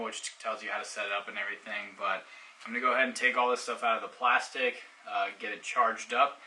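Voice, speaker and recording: A man with a very bright, forward voice, slightly on the low side, talks through a product in a casual review style. He explains informally, step by step. The mic sounds distant, with faint noise behind him. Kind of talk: casual unboxing review and how-to demonstration.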